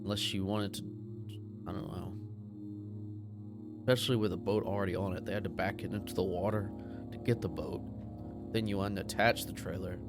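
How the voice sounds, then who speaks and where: A man's voice: deep voice, steady tone, brisk, long pauses. A man playing a tabletop roleplaying game, chatting casually and asking questions as he thinks things through. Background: background music.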